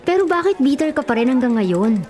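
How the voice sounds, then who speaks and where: A woman's voice: high voice, sing-song, fairly quick, darkish tone. An actress playing a candid, nagging woman giving concerned advice in a Filipino radio drama, questioning and admonishing. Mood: chiding, persuasive.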